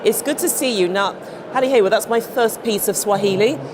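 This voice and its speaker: A woman's voice: fairly high pitch, a little fast. A woman hosting a broadcast interview, polished and upbeat in a conversational way. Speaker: interview host. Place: studio at an outdoor event. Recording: noisy background ambience with traffic.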